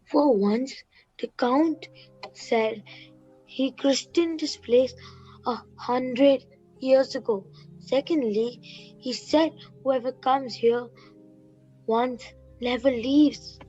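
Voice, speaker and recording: A slightly muffled recording of a woman acting a scared, suspicious child in a radio play. The voice is high and mellow, with slow, anxious phrasing. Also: husky, faint music behind, heavily acted. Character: frightened, suspicious child pleading with mother.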